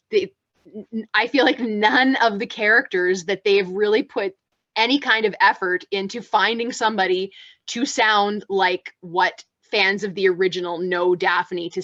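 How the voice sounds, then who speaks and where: A woman co-hosting a casual panel discussion, chatty and full of fillers and restarts, with a low voice she calls masculine. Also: a bit bright, no room sound, lo-fi sound. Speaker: podcast co-host.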